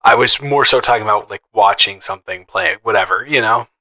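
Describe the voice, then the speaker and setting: Guy playing a teasing best friend type, bright voice, kinda shy n stammery, flirty audio roleplay, chatty. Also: fairly low pitch. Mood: nervous, friendly. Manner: playful, teasing, halting with stammers and chuckles. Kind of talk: improvised, conversational romantic audio roleplay.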